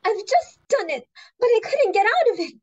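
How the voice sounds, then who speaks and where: A woman's voice: very high voice, very animated, normal speed, darkish tone, dull audio, a little hiss or hum. A woman playing an anxious, bungling young society daughter in an amateur reading of a stage comedy, exclamatory and apologetic. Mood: distressed, defensive.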